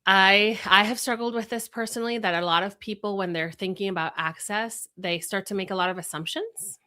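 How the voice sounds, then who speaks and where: A woman's voice: mid-range voice, brisk, sharp tone. A woman from Venezuela whose first language is Spanish, a brand strategist explaining her ideas in a podcast interview with examples and rhetorical questions.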